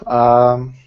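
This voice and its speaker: Man, lowish voice, unhurried pace. Casual, hesitant tabletop game talk with muttered counting, on muffled voice-chat audio. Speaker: tabletop roleplaying game master or player rolling combat.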